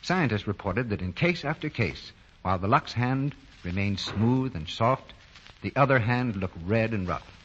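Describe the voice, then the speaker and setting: A man's voice: on the low side, expressive, moderate pace, dull audio. An American man reading scripted commercials and credits as a 1940s radio announcer. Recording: noisy, band-limited 1940 radio broadcast.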